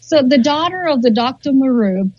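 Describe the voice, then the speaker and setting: A husky, fairly high-voiced woman in her forties, Spanish her first language, talks freely as an interview guest, stumbling and repeating words as she gathers her thoughts. The sound is dull and lo-fi. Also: lively intonation, normal speed, mellow, no room sound. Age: 40s. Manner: chatty, repeats words while thinking, laughs often. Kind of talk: casual interview chat.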